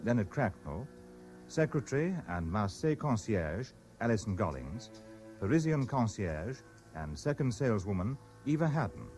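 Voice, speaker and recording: A British man announces radio play credits in a formal broadcast style. His low voice rises and falls expressively, with faint music behind. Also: a little mellow.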